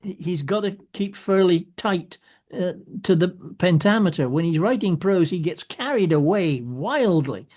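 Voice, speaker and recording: Chatty guy, kinda high voice, rambles on with lots of restarts. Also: animated, measured pace, a little mellow. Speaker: play translator and company organizer. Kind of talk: casual group discussion.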